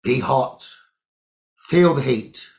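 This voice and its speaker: A man leading a guided meditation as a teacher, giving calm, deliberate instructions in short phrases with long pauses. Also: mid-range voice, creaky. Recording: dull, lo-fi sound.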